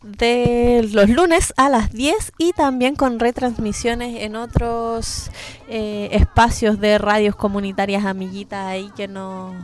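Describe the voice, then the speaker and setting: A woman's voice: fairly high pitch, fairly even intonation, slow. A woman with a Chilean accent chatting informally and with plenty of slang as a community radio host. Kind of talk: casual radio chat.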